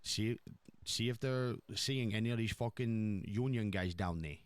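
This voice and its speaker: Man playing a low-voiced, blunt mafia boss. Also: measured pace, clear, resonant tone, no echo, natural voice. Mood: businesslike, commanding. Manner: blunt, profane, repetitive, giving orders. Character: blunt, commanding male mafia boss in New York. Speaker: roleplay player. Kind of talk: improvised in-character crime roleplay.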